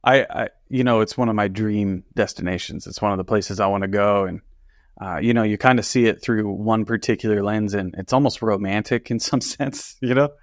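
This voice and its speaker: A man's voice: fairly low pitch, a little fast, dry recording, clean studio sound. A man hosting a podcast interview, chatting casually in an effusive, rambling, informal way.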